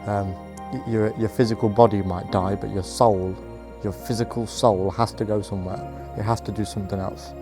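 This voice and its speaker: A man's voice: on the low side, steady tone, normal speed, music in the background. A man in his twenties, a teacher, answering documentary interview questions hesitantly, restarting his sentences. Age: 20s.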